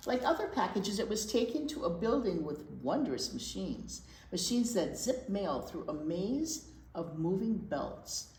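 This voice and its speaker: A woman reading a picture book aloud to young children as a storytime teacher, expressive and emphatic, explaining things simply. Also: medium pitch, unhurried. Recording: roomy echo with faint noise.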